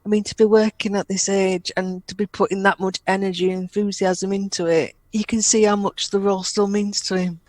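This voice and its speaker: A woman's voice: medium pitch, flat delivery, a little fast, hardly any pauses, no echo. A British woman co-hosting a fan podcast, talking casually and thoughtfully, with some hedging. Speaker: podcast co-host.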